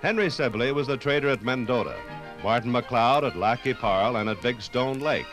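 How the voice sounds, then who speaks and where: A man's voice: mid-range voice, moderate pace, fairly bright tone, faint music behind. A man with an American accent reading scripted narration for a 1950s radio documentary.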